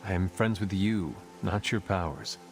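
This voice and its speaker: A man's voice: low voice, a little slow, creaky, over music. A man playing a solemn, loyal warrior hero in a video game, speaking formally and earnestly. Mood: sincere. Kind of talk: video game cutscene acting.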